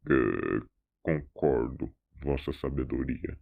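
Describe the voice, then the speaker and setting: A man voicing a gruff, hot-tempered alien warrior commander in an audio drama, growling around his lines. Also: rumbling low, expressive, slow pace, phone-quality sound, voice pushed down, exaggerated voice. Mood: urgent, deferential. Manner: growls before and after speaking.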